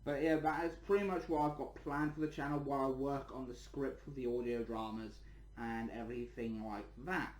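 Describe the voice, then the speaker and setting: A man's voice: mid-range voice, steady tone, normal speed, a little mellow. A man hosting a casual channel update, rambling and repeating his words and phrases as he talks to viewers. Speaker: channel host and toy reviewer. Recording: echoey room.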